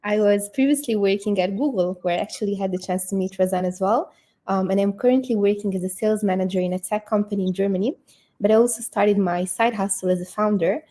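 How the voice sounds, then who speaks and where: Woman in her twenties raised in Italy, relaxed warm voice, upbeat interview guest. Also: mid-range voice, fast talker. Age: 20s. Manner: fluent, upbeat, laughs between thoughts. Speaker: guest, sales manager and personal branding founder. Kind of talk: casual online interview.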